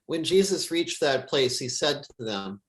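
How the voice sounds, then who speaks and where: A man reads scripture as a pastor would, in a solemn, measured and deliberate liturgical style. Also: a little high, sing-song, a bit bright.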